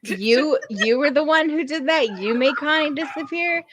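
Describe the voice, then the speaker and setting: A woman's voice: high-pitched, expressive, normal speed, fairly bright tone. A woman hosting a podcast, chatting casually about a TV show in a blunt, joking manner with plenty of swearing. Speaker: podcast host.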